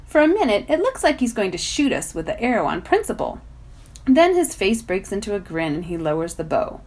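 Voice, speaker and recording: Expressive woman reading aloud, animated pitch. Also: a little fast. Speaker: volunteer story reader. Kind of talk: expressive read-aloud narration of a children's novel.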